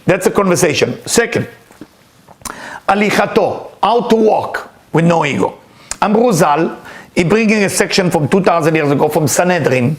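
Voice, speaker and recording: A man's voice: sits high, animated, fairly quick. A man, a Hebrew speaker, giving an earnest, emphatic weekly spiritual talk. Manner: earnest, emphatic, repeats key words. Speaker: spiritual teacher.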